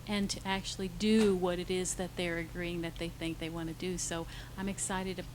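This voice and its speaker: Flat-voiced woman in the audience. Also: fairly low pitch, normal speed, background noise. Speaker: audience member. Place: conference room.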